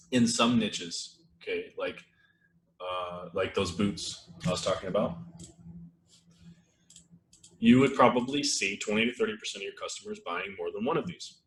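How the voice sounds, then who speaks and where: A man with a low, slightly strained voice runs a live webinar in loose, rambling talk full of fillers. He sits at a desk mic in an echoey room with a faint hiss behind him. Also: measured pace, pauses often. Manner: relaxed, rambling, many fillers and restarts. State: losing his voice, clearing throat. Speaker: webinar host.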